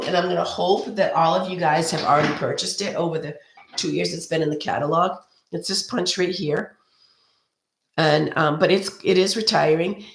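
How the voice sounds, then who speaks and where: A woman with a hoarse, cold-roughened voice hosts a live card-making lesson. She talks in a loose, friendly ramble full of ums and restarts. Her voice carries a noticeable room echo. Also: on the low side, slight background noise. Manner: rambling and friendly, many ums and restarts, greets viewers by name. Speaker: card-making demonstrator hosting a live stream. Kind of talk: chatty live craft tutorial. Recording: roomy echo.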